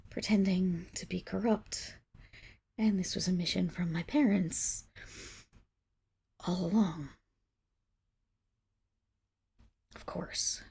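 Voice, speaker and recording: A woman acts out a proud tsundere wolf-girl of noble birth in an intimate ASMR roleplay. Her voice is breathy and husky with a creaky edge, at an ordinary female pitch. She speaks in haughty, formal phrases that trip into stammers and sink toward whispers. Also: warm tone, full character voice. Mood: subdued, resigned. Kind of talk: ASMR roleplay acting, addressed to the listener.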